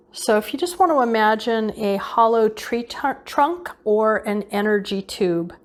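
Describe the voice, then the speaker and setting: A woman leading an unscripted guided visualization meditation, giving casual instructions and often repeating her phrases. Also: medium pitch.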